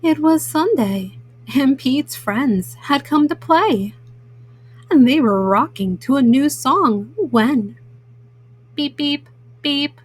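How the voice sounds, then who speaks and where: A woman reads a children's bedtime story in a gentle, motherly manner. Her voice sits a little high and has a husky grain. She takes her time, moving through the tale at a very unhurried pace. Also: lively intonation. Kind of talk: bedtime story read-aloud.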